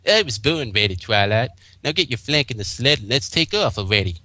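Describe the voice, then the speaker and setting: A man's voice: medium pitch, very animated, fairly quick, sharp tone, dry recording. Man reading as cocky, brash Rainbow Dash. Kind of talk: fan fiction read-aloud.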